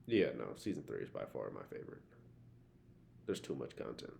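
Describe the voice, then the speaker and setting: A man talks casually as a podcast co-host, blunt and full of slang and swearing. His voice is very deep and thick with creak. A faint noise sits behind him. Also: very animated, normal speed, darkish tone. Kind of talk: casual chat, TV show discussion.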